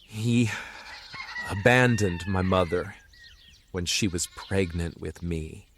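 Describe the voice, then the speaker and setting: Troubled young healer, very low pitch, restrained and unhurried, audio drama. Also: male voice, noisy background. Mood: despairing. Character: grave, troubled young healer searching for his father.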